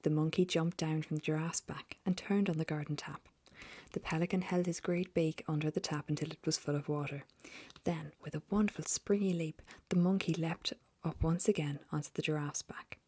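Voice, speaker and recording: Woman softly whispering a storybook. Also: low-pitched, moderate pace, slightly rough. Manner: soft, even storytelling.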